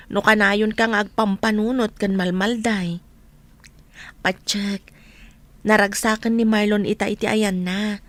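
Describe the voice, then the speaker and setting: A fairly high female voice with an Ilocano accent, an actress playing out a supportive friend. She sounds sympathetic and encouraging, in the acted style of a radio drama. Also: lightly acted. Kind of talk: Filipino radio drama acting.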